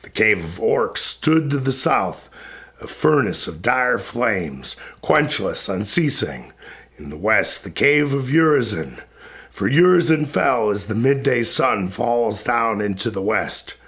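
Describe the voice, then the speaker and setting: A man giving a solemn, dramatic recitation of epic poetry in a grave, declamatory manner with deliberate pauses between phrases. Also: on the low side, expressive, a bit bright.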